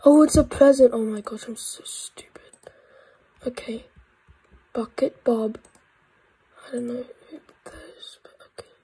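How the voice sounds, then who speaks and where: Congested woman YouTuber, very husky and mellow tone, excitable gameplay chatter. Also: fairly high pitch, unhurried, pauses often, low-quality recording. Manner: excitable, with frequent whispered asides. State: congested, croaky voice. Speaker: gaming YouTuber. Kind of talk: casual gameplay commentary.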